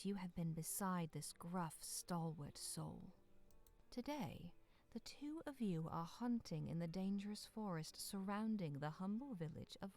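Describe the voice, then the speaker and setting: Mellow, low-voiced mysterious fantasy narrator. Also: female voice. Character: mysterious solemn female narrator of a dark fantasy winter tale. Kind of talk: scripted second-person narration for a visual novel.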